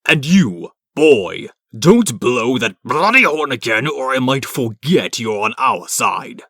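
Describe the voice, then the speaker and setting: A man's voice: medium pitch, big pitch swings, slow pace, pushed and bright, no room sound, studio quality, exaggerated voice. A man playing a stern, menacing, imperious villain in a comic dub. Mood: threatening.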